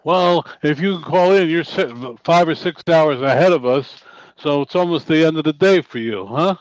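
Halting radio host, slightly high voice. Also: male voice, dry recording, low-quality recording. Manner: halting, many fillers and restarts. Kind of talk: radio interview.

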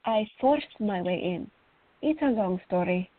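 A woman's voice: medium pitch, animated, a little slow, noisy background, lightly acted. An actress playing a fiery, outspoken young Greek woman artist in a radio play, on a thin recording. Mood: solemn, proud. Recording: thin, phone-like audio.

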